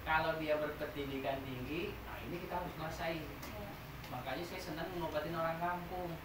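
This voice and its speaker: A man leads a massage therapy class, explaining step by step and throwing questions at the students. His voice sits a little high. It comes from across an echoey, noisy room, well away from the mic. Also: fairly even intonation, fairly quick, recorded from a distance. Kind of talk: teaching a training class.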